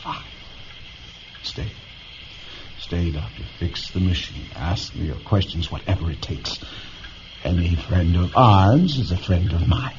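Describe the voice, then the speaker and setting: A man playing a bitter, sharp-tongued, ailing psychic pilot in a science fiction radio drama, sarcastic and abrupt. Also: deep voice, unhurried, pauses often, background noise. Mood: weary, subdued. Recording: muffled lo-fi audio with music behind.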